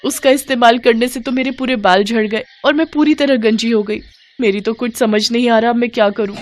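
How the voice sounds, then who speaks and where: A woman's voice: fairly high pitch, fairly even intonation, fairly quick, pitched above the performer's own voice, natural voice. A woman voicing a vain young village woman in a storybook tale, fretful and complaining, with music behind. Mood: distressed, pleading.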